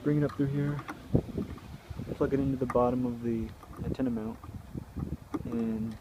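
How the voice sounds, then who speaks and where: A man's voice: mid-range voice, sing-song, moderate pace, lo-fi sound. A man gives casual, hands-on how-to instruction in an informal, offhand way, recorded in and around a car. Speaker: do-it-yourself video presenter. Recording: clearly noisy car, handling clicks.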